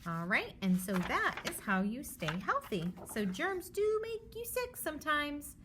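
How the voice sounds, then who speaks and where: A woman reads aloud for children with a lively, swinging intonation. She explains things simply in an instructive manner and often checks in with her listeners. Faint noise sits behind her voice. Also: high voice, a little slow. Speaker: teacher reading a children's book aloud.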